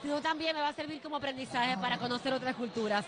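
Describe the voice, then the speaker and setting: A woman's voice: sits high, fast talker. A young woman from Santiago with a Dominican accent, speaking fluently and upbeat in a live interview on an outdoor court. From Santiago, Dominican Republic. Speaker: sports journalist and team spokesperson. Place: outdoor neighborhood basketball court. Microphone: handheld held by interviewer. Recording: crowd and noise outdoors.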